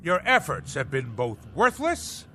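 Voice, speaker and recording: An actor in a radio drama playing a pompous, manic husband obsessed with the navy, declaiming in grandiose nautical bombast. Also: male voice, a little high, wildly swooping pitch, measured pace, bright, forceful tone, a little hiss or hum, exaggerated voice. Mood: haughty, indignant. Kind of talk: theatrical radio drama acting.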